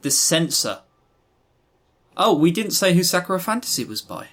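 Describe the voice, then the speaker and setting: A man's voice: high-pitched, sing-song, moderate pace, a bit bright. A man co-hosting a podcast in casual review chat, with a blunt, dryly joking manner. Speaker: podcast co-host. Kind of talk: casual review chat about visual novels.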